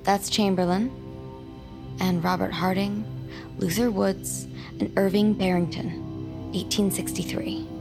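A woman's voice: medium pitch, close to an everyday voice. An actress playing a practical, guarded woman helping investigate the occult in an audio drama.

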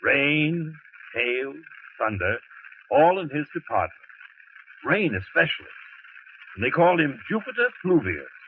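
An actor playing a fussy, pompous weather bureau chief in a 1940s radio drama, flustered and lecturing. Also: male voice, a little high, sing-song, unhurried, long pauses. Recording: tinny, hissy old radio broadcast.

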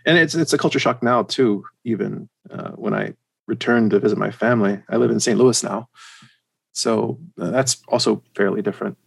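A man with a general American accent, chatting casually as a podcast guest in a hesitant, halting way. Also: fairly low pitch, creaky, husky. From United States and Germany. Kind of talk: casual chat.

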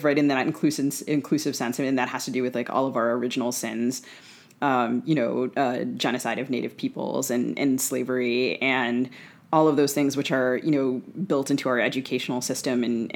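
An American woman co-hosting a conversational discussion, talking discursively with many asides as she works toward questions. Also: a bass voice. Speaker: podcast co-host, interviewer.

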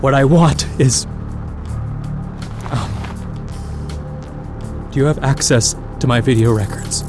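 An actor playing a weary, anguished and stubborn space traveler in an audio drama, muttering and exasperated. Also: male voice, medium pitch, takes it slowly, long pauses, over music, close to an everyday voice.

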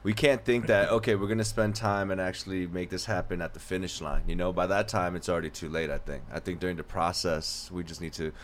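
A man's voice: low voice, steady tone, quick pace, few pauses, slight background noise. A man speaking as a podcast guest, easygoing and supportive in casual chat.

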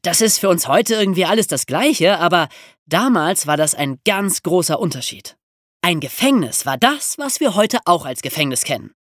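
Animated podcast storyteller with a dry, close sound. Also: mid-range voice, moderate pace, no echo. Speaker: podcast presenter. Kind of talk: explanatory storytelling in a history podcast.

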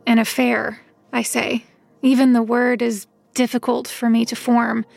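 A woman playing a world-weary, wry first-person narrator in an audio drama, with measured literary delivery. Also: fairly high pitch, level tone, gravelly, no echo, faint music behind. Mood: weary, reflective. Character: world-weary, recovering-alcoholic woman narrator, reluctant detective's helper.

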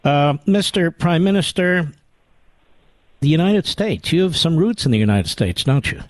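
A man's voice: a little high, expressive, moderate pace, no echo. A middle-aged man hosting a talk radio show, speaking emphatically, running an interview and reading ads. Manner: emphatic, salesman-like. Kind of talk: interview and ad read.

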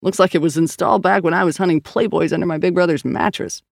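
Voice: mid-range voice, steady tone, fast talker, gravelly, no room sound. An audiobook narrator playing a weary, skeptical male police partner, blunt and dryly sardonic. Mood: matter-of-fact. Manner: dry, sardonic, reluctant.

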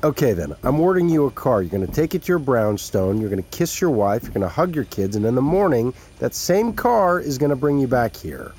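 An actor playing a pragmatic, persuasive tech executive in a naturalistic audio drama. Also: male voice, medium pitch, sing-song, brisk, few pauses, a little mellow, slight background noise. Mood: exasperated, placating. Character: pragmatic, placating male tech executive and friend.

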